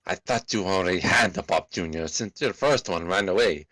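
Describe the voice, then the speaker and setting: A man's voice: low-pitched, moderate pace, sharp tone, no room sound. A man voicing a laid-back talking cat who coolly prods his friend in an amateur comedy story. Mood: wry.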